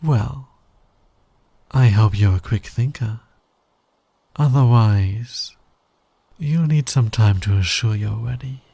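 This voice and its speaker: A man playing a smug, flirty fantasy villain in an intimate ASMR roleplay, breathy and teasing, often sinking toward a whisper. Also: medium pitch, mellow, dry recording, heavily acted. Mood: confident, challenging. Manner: teasing, slow, deliberate, dominant. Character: flirty, smug male fantasy villain, patient, smitten with hero. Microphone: close microphone, dry sound.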